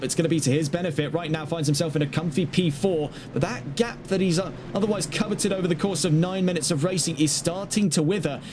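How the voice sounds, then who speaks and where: Male race caller, fairly high voice, brisk play-by-play with chatty asides, steady background hiss under the mic. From not Dutch. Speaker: race commentator. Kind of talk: live sim-racing play-by-play commentary.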